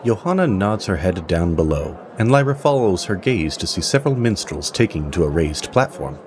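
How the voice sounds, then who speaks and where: A man narrating a scripted fantasy story as its storyteller, in a measured, dramatic manner. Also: deep voice, very animated, darkish tone, slight background noise.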